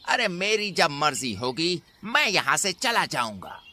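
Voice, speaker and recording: A man voicing a sly, insolent wolf in an animated fable, with a defiant, theatrical delivery. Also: fairly high pitch, measured pace, a little hiss or hum, heavily acted. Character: sly, insolent male wolf scheming in a forest.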